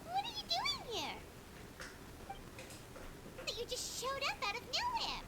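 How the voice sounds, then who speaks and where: A tiny floating fairy girl with a squeaky, chirpy voice that leaps all over the place. She sounds very high and excitable. The game audio sits under loud stream noise. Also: measured pace, full character voice.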